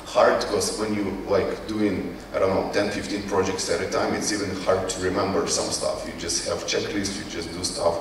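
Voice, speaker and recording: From far off in a very echoey hall with a faint bed of noise comes a man's slightly low voice. He has a Ukrainian accent and speaks non-native English. He presents informally, haltingly, often searching for the right word. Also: level tone. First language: not English. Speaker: conference speaker, company CEO. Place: conference hall.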